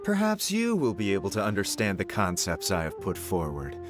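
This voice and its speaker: Smug, condescending official. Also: male voice, on the low side, wildly swooping pitch, fairly quick. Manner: haughty and patronizing. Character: pompous male job candidate, condescending official. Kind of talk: video game voice acting. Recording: game music behind the voice.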